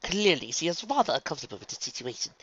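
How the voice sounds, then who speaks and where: Guy doing a posh-mannered lady pony, gushing and bossy, highish bright voice, story reading. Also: very animated, very fast, slightly rough, dull audio, heavily acted. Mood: gently firm. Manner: gushing, genteel, uses endearments. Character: refined, bossy, coaxing lady pony, theatrically gracious.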